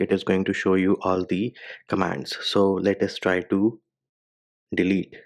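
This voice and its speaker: A man whose first language is Hindi, giving a step-by-step phone tutorial voice-over with casual slang. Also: sits low. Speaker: tech reviewer.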